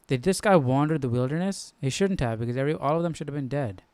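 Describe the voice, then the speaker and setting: Smooth, casual man thinking aloud. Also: medium pitch, quick pace, darkish tone, dry recording. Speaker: host of a live Bible study stream. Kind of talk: casual study-along commentary.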